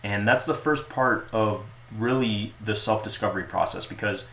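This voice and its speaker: A man talking casually as an interview guest about the club he runs, relaxed and joking, with lots of restarts and fillers. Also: fairly low pitch, moderate pace, vocal fry. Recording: echoey room with faint noise.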